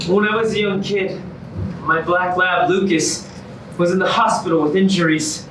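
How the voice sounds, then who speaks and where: An actor playing a wistful, relentlessly smiling happiness contestant in a staged musical comedy reading. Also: male voice, high voice, steady tone, normal speed, lightly acted. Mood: wistful cheer. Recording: roomy, distant, noisy live stage recording.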